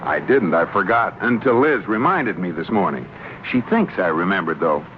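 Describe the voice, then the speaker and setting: A man's voice: sits low, sing-song, moderate pace. A man playing a thrifty, wry, exasperated American husband in vintage radio comedy style. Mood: smug. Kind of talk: 1940s-50s radio situation comedy acting. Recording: muffled, hissy old radio recording.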